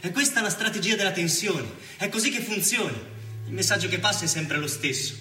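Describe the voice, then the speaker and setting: An actor playing an earnest, troubled young journalist in a solemn, reflective radio drama monologue. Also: male voice, high-pitched, animated, a little fast, sharp tone. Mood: grave, foreboding. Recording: echoey, distant, music behind.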